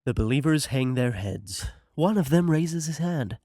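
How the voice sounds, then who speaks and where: A man's voice: a little high, measured pace. A man narrating a scripted comedy story in a playful, conversational and wry way. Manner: wry, animated.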